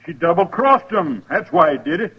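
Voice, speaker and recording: A man playing a sneering, excitable outlaw in an old radio western, rough and accusing in speech. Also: a little high, moderate pace. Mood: bitter, accusing. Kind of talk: 1950s radio western acting. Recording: muffled old radio recording.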